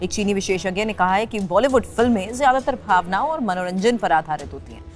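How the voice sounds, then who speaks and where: A woman presenting a scripted news report. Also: medium pitch.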